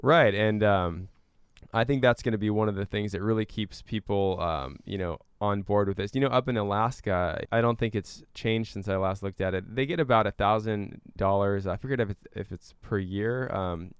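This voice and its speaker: A man's voice: lowish voice, normal speed, smooth, no echo. A man explaining things conversationally as a guest expert in a radio interview. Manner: explanatory, with everyday examples. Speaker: interview guest, climate researcher and activist.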